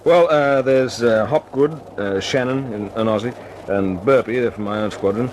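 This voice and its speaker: An actor playing a brisk, commanding wartime air force squadron leader in an old-time radio drama, speaking in a clipped, authoritative way. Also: male voice, mid-range voice, a little mellow, lightly acted. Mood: easy, confiding. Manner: clipped, authoritative, informal with his men. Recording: noisy old radio recording.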